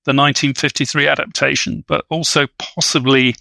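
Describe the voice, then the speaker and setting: A man offering conversational film analysis in a measured, scholarly and hesitant manner. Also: medium pitch, level tone, creaky, sharp tone, no echo, low-quality recording. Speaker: film discussion guest.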